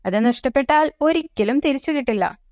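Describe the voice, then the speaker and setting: A woman's voice: high-pitched, animated, brisk, darkish tone, dry recording, studio quality. A woman reading a scripted moral story for children as narrator.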